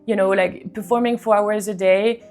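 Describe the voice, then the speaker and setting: A woman's voice: mid-range voice, fairly even intonation, moderate pace, a little mellow. Chatty young woman over faint music. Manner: chatty, earnest, many "like" fillers. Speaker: vlogger, cruise ship musician. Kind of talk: casual talking-to-camera vlog.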